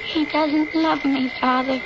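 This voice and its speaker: Earnest, lovelorn young woman, high and slow. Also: fairly even intonation, noisy background. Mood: distraught. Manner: earnest, heartfelt. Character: emotional lovelorn young woman, confiding in her father. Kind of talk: old-time radio drama acting. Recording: dull radio recording with music.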